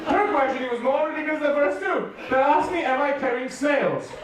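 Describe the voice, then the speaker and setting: A man with a Serbian accent tells comic stories in a sermon, acting out every exchange. His voice sits fairly high, and it is picked up from a distance in a big hall full of echo. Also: brisk, noisy background. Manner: animated comic storytelling, acts out dialogue. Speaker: preacher. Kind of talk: humorous sermon storytelling. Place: large hall with a youth audience. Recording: echoey hall, voice from far off.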